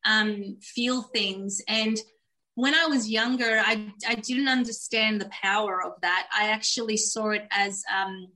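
Bright, earnest woman. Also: mid-range voice, unhurried, poor audio. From Australia. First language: Turkish. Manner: earnest, reflective, open. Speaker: guest. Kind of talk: candid personal storytelling in a podcast interview.

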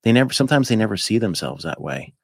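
Guy in his 50s, lowish voice, rambly and animated, dry close sound. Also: quick pace, vocal fry, breathy edge, dry recording. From United States. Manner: rambling, animated, with many fillers. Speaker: podcast guest. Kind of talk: casual chat.

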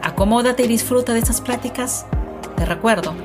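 A woman's voice: a little high, brisk, loud music behind the voice. A woman hosting a podcast, asking questions in a chatty way with frequent restarts. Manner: warm-up questions with many restarts and fillers. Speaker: podcast host. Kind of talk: casual interview.

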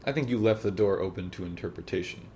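Quiet deep-voiced young man, dry humor. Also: quick pace, a little hiss or hum. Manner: low-key, dry, quiet, joking. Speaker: guest, host's boyfriend. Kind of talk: casual podcast chat. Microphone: further from the mic, quiet.

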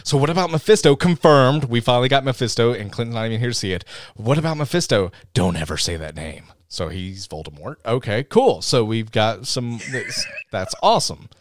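A man's voice: medium pitch, sing-song, fairly quick. A man co-hosting a podcast, chatting casually about a movie, sarcastic and jokey, often acting out lines from it. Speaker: podcast co-host. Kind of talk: casual movie review chat.

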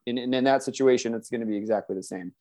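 A man's voice: mid-range voice, very fast, mellow. An American man from the Chicago suburbs chatting casually as an interview guest, in a self-deprecating, chuckly way. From suburban Chicago, United States.

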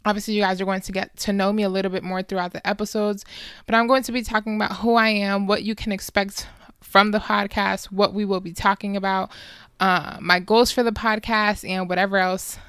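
Woman, early twenties, podcast host, informal and chatty, crisp resonant voice, dry sound. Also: medium pitch, level tone, fairly quick, fairly bright tone, no echo. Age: early 20s. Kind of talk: casual solo podcast introduction.

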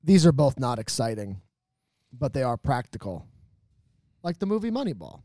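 A man's voice: medium pitch, very animated, moderate pace, dark tone, no echo. A man co-hosting a podcast in casual banter, with a wry, dry sense of humor. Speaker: podcast co-host.

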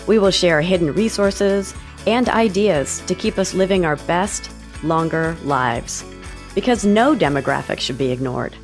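Upbeat woman podcast host, roomy sound. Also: fairly low pitch. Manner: enthusiastic, upbeat intro then conversational. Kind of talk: casual interview chat.

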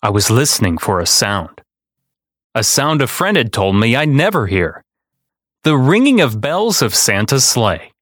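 A man narrates with a lively, swinging pitch. The recording is close and dry, suited to a podcast host reading a children's book aloud. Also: measured pace, no room sound. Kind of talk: expressive storybook narration.

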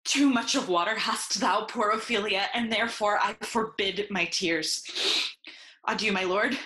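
A hot-tempered young nobleman voiced by a woman, grieving and bent on revenge. The delivery is intense and declamatory in classical Shakespearean style, at a middle pitch for a female voice, with an echoey room around it. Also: unhurried, sharp tone. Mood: stunned sorrow. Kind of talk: Shakespearean audio play acting.

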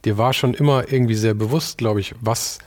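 A man's voice: medium pitch, a little fast, a little mellow. A man hosting a casual interview, musing aloud and hedging his remarks. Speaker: host.

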